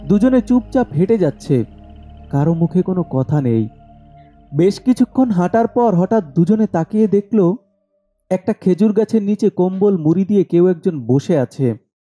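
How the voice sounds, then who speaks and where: A male storyteller narrates a scripted horror tale. His tone is very soft and dark, pitched a little high. Faint music runs behind his voice. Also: lively intonation, normal speed.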